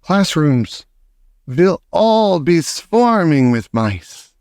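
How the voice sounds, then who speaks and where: A man voicing a gloating old female witch leader who shouts in broken grammar. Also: fairly high pitch, big pitch swings, very slow, clean tone, no echo, studio quality, pitched above the performer's own voice, full character voice.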